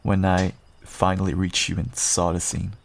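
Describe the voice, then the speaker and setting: A man playing a calm, protective werewolf rogue in a fantasy roleplay audio drama, speaking in an earnest, measured way. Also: sits low, vocal fry, slight background noise. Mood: earnest, reassuring.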